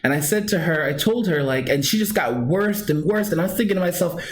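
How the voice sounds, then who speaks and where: A man's voice: a little high, animated, fairly quick, slight background noise. A man telling a personal story straight to his viewers, earnest and emphatic, repeating points and correcting himself as he goes. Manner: frequent restarts and self-corrections. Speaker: vlogger.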